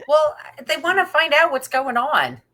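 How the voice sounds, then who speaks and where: A woman's voice: sits high, very animated, unhurried, bright, forceful tone. A woman podcast co-host casually chatting through the plot of a film. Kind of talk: casual film recap chat.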